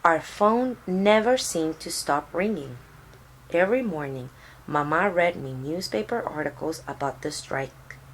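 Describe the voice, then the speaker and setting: A woman with a Puerto Rican accent reads a children's picture book aloud in a simple, deliberate way for young listeners. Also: sits low, animated, a little hiss or hum, low-quality recording. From Puerto Rico. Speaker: storyteller reading aloud to children.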